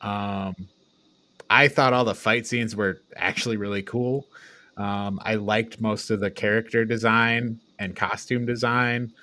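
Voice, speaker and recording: A man's voice: on the low side, steady tone, a little slow, sharp tone, no echo. A man co-hosting a casual, informal review discussion, recapping things in an offhand way. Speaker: podcast co-host reviewing films.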